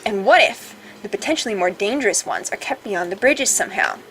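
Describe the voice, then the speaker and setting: A woman's voice: medium pitch, big pitch swings, fairly quick, fairly bright tone, a little hiss or hum, rough recording. A woman reading visual novel dialogue aloud as a let's play host, playful and casual in her delivery. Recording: music behind.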